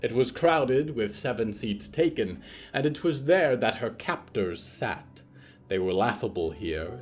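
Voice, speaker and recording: A man narrating a scripted story in a deliberate, literary and theatrical manner, as for a fiction podcast. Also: mid-range voice, darkish tone.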